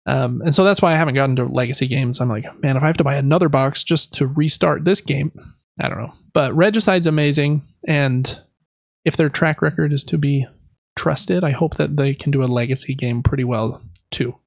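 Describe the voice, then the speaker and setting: A man's voice: mid-range voice, a little fast, gravelly, dry recording, muffled recording. A man hosting a casual board game podcast, talking in an informal, conversational, meandering way. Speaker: podcast host.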